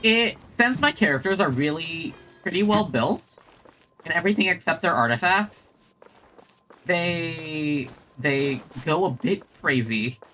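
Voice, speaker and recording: A man giving casual live gameplay commentary, halting and often repeating his phrases. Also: a little high, animated, sharp tone, slight background noise, rough recording. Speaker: game streamer.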